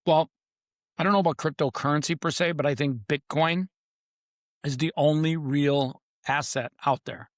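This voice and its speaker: This is a man with a voice a little higher than most men's, recorded close and dry. He talks like a guest in a conversational interview. He is emphatic, stacking short punchy declarations and repeating them for dramatic weight. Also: steady tone, moderate pace, no room sound. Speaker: podcast guest, author.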